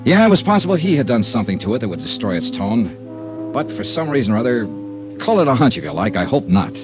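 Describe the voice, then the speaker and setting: A man's voice: mid-range voice, very animated, fast, over music, natural voice. An actor playing a wry, hard-boiled male insurance investigator, narrating and talking in a 1950s radio drama. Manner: laconic, wry first-person narration.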